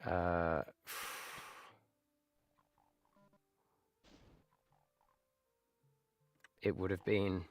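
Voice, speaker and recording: A man's voice: sits low, little pitch movement, extremely slow, long pauses, no room sound. A man in his late thirties chatting casually while he plays a game live, reminiscing and trailing off into muttered asides. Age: 30s. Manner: nostalgic, rambling, with muttered asides. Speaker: livestreamer playing games. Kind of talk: casual live gameplay commentary.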